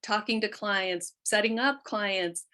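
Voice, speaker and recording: Bright-voiced middle-aged woman, chatty guest. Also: medium pitch, slow. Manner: chatty and candid, trails off often. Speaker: guest, quilt artist. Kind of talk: casual podcast conversation.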